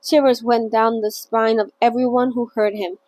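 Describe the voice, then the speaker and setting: Chatty giggly woman, slightly high voice. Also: flat delivery, normal speed, darkish tone, no room sound. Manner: chatty, giggly, self-conscious, many fillers. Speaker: channel host reading a novel aloud. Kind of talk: casual read-along narration.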